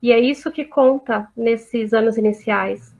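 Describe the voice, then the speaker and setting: A woman's voice: a little high, unhurried, a little mellow. A woman teacher answering a parent on a video call, reassuring and often adding tag questions. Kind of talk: online talk answering questions. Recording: tinny phone-like audio.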